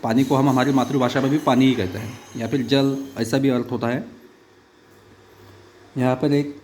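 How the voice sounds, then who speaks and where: A man teaching a primary school lesson, pausing often with "uh" fillers as he explains each picture. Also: mid-range voice, fairly even intonation, a little mellow, slight background noise. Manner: halting, with many "uh" fillers. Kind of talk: online class lesson.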